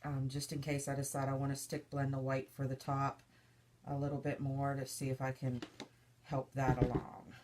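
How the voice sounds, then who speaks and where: Woman, deep for her sex, stuffy, slightly hoarse, chatty crafting demo, faint background hiss. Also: completely flat delivery, normal speed, darkish tone. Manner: chatty, narrating each step as she works. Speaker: soap maker demonstrating her craft. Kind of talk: casual step-by-step demonstration.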